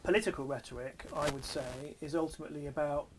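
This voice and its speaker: A man gives an articulate interview answer in a slightly high voice. Loud noise runs behind him throughout the recording. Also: fairly even intonation, fast. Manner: fluent, articulate.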